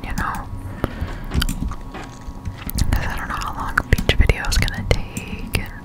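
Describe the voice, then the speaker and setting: A young woman speaks entirely in a soft, breathy whisper. She is doing ASMR gameplay commentary right up at the mic, explaining things casually and haltingly with plenty of slang. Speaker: gaming ASMR streamer. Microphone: close-up desktop condenser microphone.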